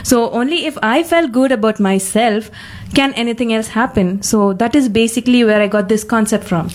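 A woman's voice: on the high side, moderate pace. A twenty-year-old woman speaking conversationally in a personal discussion, often hesitating and rephrasing as she explains herself.

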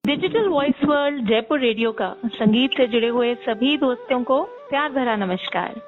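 Host: woman radio presenter, fairly high voice, polished, thin phone-like sound. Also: expressive, normal speed. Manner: polished, frequent affirming interjections. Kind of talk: radio interview hosting. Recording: tinny phone-like radio sound, faint music.

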